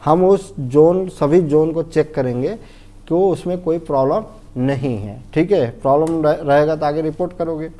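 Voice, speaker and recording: An Indian man with a mellow, soft-edged voice pitched a little high teaches a technical lesson. He explains step by step in an informal, didactic way, repeats key phrases and keeps checking that the listener follows. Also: normal speed. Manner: didactic, repetitive, often checks understanding and asks himself questions. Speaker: fire safety instructor.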